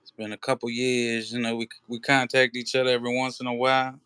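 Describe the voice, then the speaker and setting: A man's voice: mid-range voice, level tone, fast, bright, forceful tone, smooth, no echo, clean studio sound. Laid-back man chatting on a livestream. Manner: laid-back, slangy, many "you know" fillers. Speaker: livestream host. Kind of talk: casual livestream chat.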